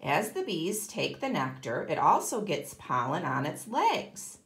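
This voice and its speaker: A woman's voice: low voice, very animated, measured pace, a bit bright, roomy sound. A woman teaching kindergarten, reading a children's book aloud expressively and explaining it to young listeners. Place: indoor room, at a table.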